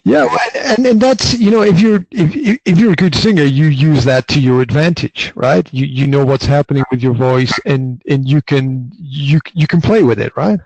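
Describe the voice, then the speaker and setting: Host, man, 40s, fairly high voice, restless restarts, dry close sound. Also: normal speed, few pauses, husky, no room sound, low-quality recording. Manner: repeats words and restarts often, lively. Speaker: podcast host. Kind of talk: casual interview chat.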